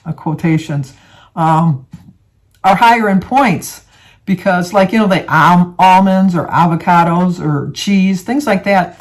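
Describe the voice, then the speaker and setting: A woman's voice: lowish voice, darkish tone. Chatty woman in her seventies. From United States. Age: 70s. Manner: chatty, rambling, many fillers and restarts. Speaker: vlogger. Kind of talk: casual talk to camera.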